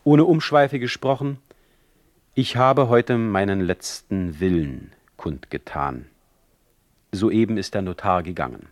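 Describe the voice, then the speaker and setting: A man's voice: low voice, dry recording, clean studio sound. An actor playing a touchy, ailing older male poet in a radio play, reading his ornate letters with theatrical, ironic precision. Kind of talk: dramatic literary letter monologue in a radio play.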